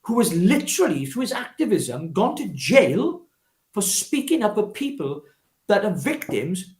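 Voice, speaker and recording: Animated, higher-pitched Welsh man. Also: measured pace, roomy sound. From Wales. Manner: emphatic, oratorical, with repetition and rhetorical questions. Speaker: political activist guest, former parliamentary candidate. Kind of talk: spontaneous political interview talk.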